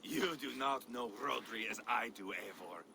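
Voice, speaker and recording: A gravelly, bloodthirsty Norse warrior. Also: male voice, animated, unhurried, sharp tone, heavily acted. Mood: menacing. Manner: theatrical, swinging from low menace to roaring. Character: bloodthirsty, sadistic, gleeful old Norse warrior, unstable and taunting. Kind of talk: dramatic video game acting. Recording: noisy game ambience behind the voice.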